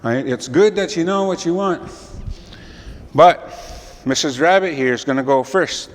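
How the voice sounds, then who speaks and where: A man's voice: fairly high pitch, measured pace, in a large hall, lightly acted. A man voicing a kindly male creator spirit who speaks reassuringly to the animals in a told folk story. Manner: gentle, reassuring, commanding.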